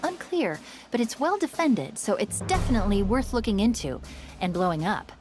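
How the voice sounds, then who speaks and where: A woman's voice: mid-range voice, sing-song, moderate pace, music in the background, lightly acted. A woman voicing a helpful, curious military AI companion in a video game, chatty, wry and eager.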